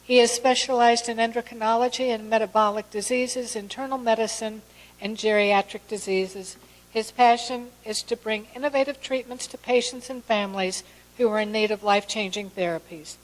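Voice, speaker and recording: Female host reading a formal introduction, slightly high voice, echo of a hall. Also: steady tone, normal speed. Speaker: event host introducing a speaker. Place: conference hall. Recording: long reverb of a hall.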